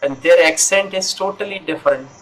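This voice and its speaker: A man's voice: high-pitched, brisk, poor audio. A middle-aged man from North India with a North Indian accent, an English teacher chatting earnestly over a video call and repeating his points. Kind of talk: casual conversation.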